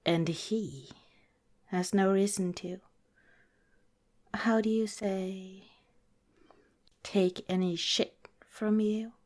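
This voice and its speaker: A woman playing a protective vampire girlfriend in an ASMR roleplay, speaking softly and deliberately, partly in a whisper, earnest and cautioning. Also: mid-range voice, fairly even intonation. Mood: cautioning, serious. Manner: soft, deliberate, breathy whispering in places.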